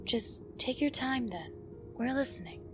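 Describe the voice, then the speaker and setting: Woman acting higher-voiced, probing female teammate. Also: expressive, a little slow, music in the background. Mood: concerned, probing. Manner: direct, questioning. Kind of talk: dramatic audio drama acting. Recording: faint background noise and ambience.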